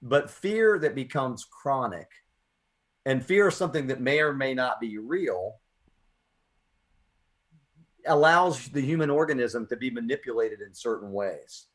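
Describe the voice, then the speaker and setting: An American man with a medium-pitched voice hosts a poetry radio show. He talks earnestly and reflectively, putting emphasis on his phrases as he moves between conversation and spoken-word delivery. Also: animated, normal speed.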